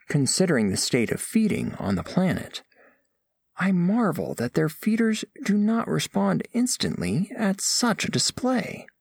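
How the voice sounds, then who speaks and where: A man's voice: fairly high pitch, vocal fry, dry recording, studio quality, exaggerated voice. A man voicing an earnest alien scholar diplomat, narrating an audio drama in formal, stilted, precise phrasing.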